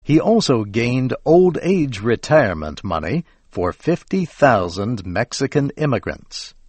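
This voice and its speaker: An American man reading scripted educational narration for English learners in a measured, carefully enunciated way. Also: mid-range voice, sing-song, darkish tone, breathy edge, no echo.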